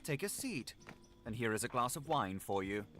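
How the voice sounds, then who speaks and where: A man voicing a brisk, commanding gentleman lawyer in an audiobook, concerned and questioning. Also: mid-range voice, sing-song, faint music behind. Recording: heavy vehicle noise over voice.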